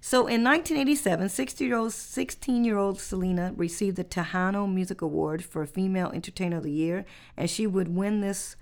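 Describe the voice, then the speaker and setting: A woman hosting a relaxed podcast chat at a medium pitch. She reads out facts in an easygoing, conversational way, and her voice has a gravelly, creaky texture. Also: a little fast, few pauses. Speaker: podcast host. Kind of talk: casual chat.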